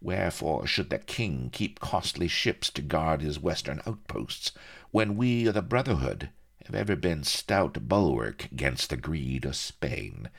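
A man's voice: very deep voice, big pitch swings, unhurried, gravelly, slightly rough, pitched above the performer's own voice, heavily acted. A man voicing a foppish, swaggering pirate who mocks in affected archaic speech. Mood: jeering, proud. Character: foppish, jeering, swaggering male pirate dandy.

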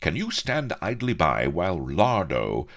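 A man's voice: low voice, wildly swooping pitch, measured pace, a bit bright, voice pushed up, heavily acted. A pompous young officer hero in a comic audiobook. He is plummy and easily outraged, his raised voice swooping about with schoolboy indignation.

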